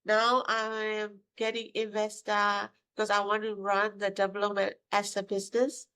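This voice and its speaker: A woman's voice: mid-range voice, monotone, moderate pace, bright, forceful tone, clean tone. A woman, answering interview questions in broken English with hesitations and tag questions. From Myanmar. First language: Burmese. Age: 50s. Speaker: guest, mortgage broker.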